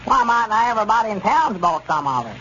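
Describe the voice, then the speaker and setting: Casting: gullible rural Southern shopkeeper, higher-pitched folksy drawl, earnest and fretful, noisy vintage radio serial sound. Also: male voice, big pitch swings, a little fast, pushed and bright, exaggerated voice. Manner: drawling, folksy, ungrammatical speech. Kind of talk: 1930s radio serial acting. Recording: dull, noisy 1930s radio recording.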